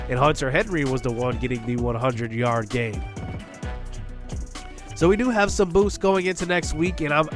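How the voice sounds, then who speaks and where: A man with a congested, stuffed-up voice calls a football video game play by play as a gaming channel host. His pitch sits in an ordinary middle range, and he shouts on the big plays. Quiet music plays faintly behind him. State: congested from illness.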